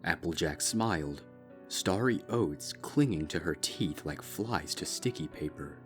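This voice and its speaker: A man with a low, deep voice reads a dark story aloud in a steady, measured way. Soft music sits faintly underneath. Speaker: fanfic reader. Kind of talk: scripted narration.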